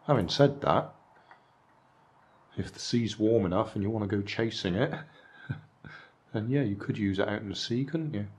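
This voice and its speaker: A man's voice: lowish voice, moderate pace, pauses often. An older man in casual show-and-tell narration, chatting dryly about charity shop finds while handling them at a table. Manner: dry, chatty, musing asides. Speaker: vlog host reviewing charity shop finds. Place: indoors at a table, hands on camera.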